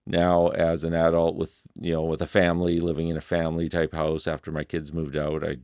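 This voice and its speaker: A man's voice: completely flat delivery, a little fast, darkish tone, smooth, no echo. A middle-aged man, thinking aloud in a reflective vlog recorded in his home music studio. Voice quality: deep voice. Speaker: musician and video creator. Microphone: desk studio microphone.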